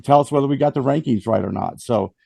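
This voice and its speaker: A man's voice: mid-range voice, fast talker, vocal fry, warm tone, no echo, clean studio sound. A man hosting a casual music review chat and losing his voice after the flu, rambling with frequent restarts and fillers. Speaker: host.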